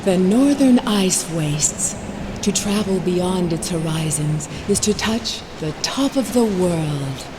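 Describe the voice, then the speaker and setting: A woman playing a solemn, mystical narrator in a fantasy video game, telling of the realm in a grand, measured storyteller delivery. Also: fairly low pitch, animated, noisy background. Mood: reverent, wistful. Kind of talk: dramatic scripted fantasy narration.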